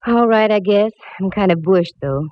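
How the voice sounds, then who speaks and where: A woman's voice: mid-range voice, lively intonation, lightly acted. An actress playing a wisecracking woman private eye who also narrates, dry and hardboiled, from a dull old radio broadcast. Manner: wry, hardboiled, quick with quips. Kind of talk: 1940s radio acting.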